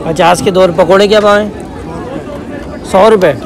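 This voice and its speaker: A man's voice: high-pitched, fairly even intonation, moderate pace, crowd noise. A man with a Karachi Urdu accent vlogging in a busy outdoor street market, chatting with sellers and asking prices. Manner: chatty, friendly greetings to sellers. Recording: noisy crowded market.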